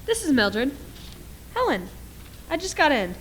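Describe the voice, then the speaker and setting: A woman's voice: high voice, sing-song, a little slow. A woman playing a concerned, questioning friend in a radio play. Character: concerned, caring female friend. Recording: very noisy recording with clicks.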